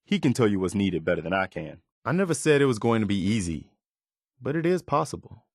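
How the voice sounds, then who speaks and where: Game role: weary but idealistic militiaman, sincere, measured, lowish voice, close dry recording. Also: very animated, creaky, dry recording, exaggerated voice. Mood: resolute. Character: earnest, weary, idealistic male militia leader in a wasteland. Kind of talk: video game character dialogue.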